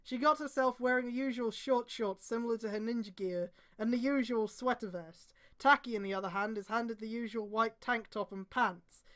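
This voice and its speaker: A woman's voice: a little high, normal speed, few pauses, a bit bright. A woman streamer reading fan fiction aloud in a mocking, theatrical way, with exasperated, sweary asides. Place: indoors on a live stream.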